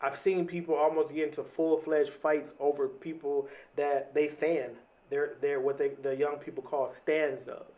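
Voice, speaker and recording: A man's voice: fairly high pitch, little pitch movement, moderate pace, hardly any pauses, dark tone. A man in his late thirties hosting a podcast, giving a casual motivational monologue in a reflective, conversational way, repeating phrases for emphasis. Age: late 30s. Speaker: podcast host.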